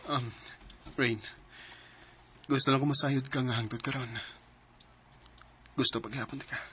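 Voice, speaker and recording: A man playing a remorseful, pleading young suitor in a radio drama, speaking earnestly and haltingly with a Cebuano accent. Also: medium pitch, steady tone, sharp tone, breathy edge. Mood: confessing, pleading. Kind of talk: Philippine radio drama acting. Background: faint music.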